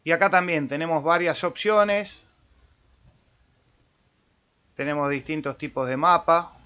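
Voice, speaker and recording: A man with an Argentine Spanish accent giving an informal software tutorial, thinking aloud as he demonstrates. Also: on the high side, normal speed, smooth, dull audio. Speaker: amateur radio video tutorial host.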